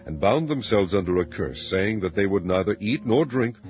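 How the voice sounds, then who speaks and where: Low-voiced man solemnly reading scripture. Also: lively intonation, moderate pace. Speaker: Bible narrator. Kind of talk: scripted dramatic reading of King James scripture. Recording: dull lo-fi audio with music underneath. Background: background music.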